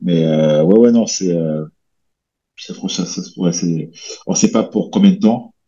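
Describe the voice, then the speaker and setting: A man in his thirties from French Guiana, chatting casually as an interview guest with a relaxed, rambling way of talking full of fillers and restarts. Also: low-pitched, measured pace, breathy edge. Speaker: guest, bodybuilder and coach. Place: small room at home, indoors. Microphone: headset on a video call. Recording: slightly dull video call audio.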